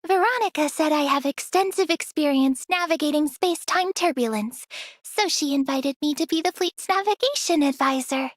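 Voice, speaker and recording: A woman acting as a precocious little girl in an anime game. The voice is high and childlike, with a close, dry sound. She speaks with great earnestness. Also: normal speed, no room sound, natural voice. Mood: earnest.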